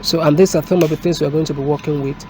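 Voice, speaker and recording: Halting, flat-toned man over noisy background. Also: on the high side. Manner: halting, step-by-step explaining. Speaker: technician presenting a product installation. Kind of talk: unscripted hands-on tutorial and review. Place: indoors at a desk, filmed from above. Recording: noisy room with street and vehicle sounds.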